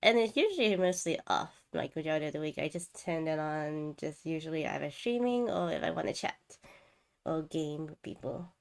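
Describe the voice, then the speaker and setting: A woman's voice: deep voice, expressive, normal speed. A woman hosting a game livestream, chatting casually and rambling as she thinks aloud. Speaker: game streamer, host.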